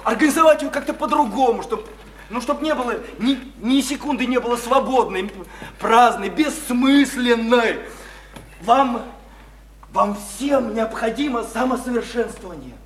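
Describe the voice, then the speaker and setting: A high-voiced, stammering, impassioned idealist. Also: male voice. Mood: anguished. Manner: halting, stammering, repetitive, grandiose oratory. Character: impassioned idealistic newcomer preaching reform to townswomen. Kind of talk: theatrical radio play acting. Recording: noisy, echoey stage-like radio play recording.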